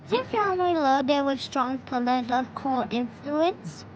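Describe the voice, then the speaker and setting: Cheeky six-year-old boy, high voice, earnest and blunt, audio drama, slightly noisy dull recording. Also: expressive, measured pace. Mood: curious, cheeky. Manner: childlike, blunt, earnest questioning.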